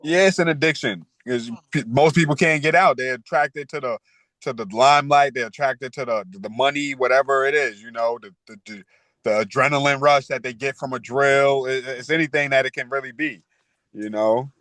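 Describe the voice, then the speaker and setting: A man in his thirties talks frankly as a podcast guest, peppering his speech with street slang and fillers. His voice sits at an everyday pitch. The recording is close and dry, with no room sound. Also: normal speed, a bit bright, dry recording. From New York. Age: 30s. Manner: street slang, frequent "you know" and "word". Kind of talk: candid interview talk.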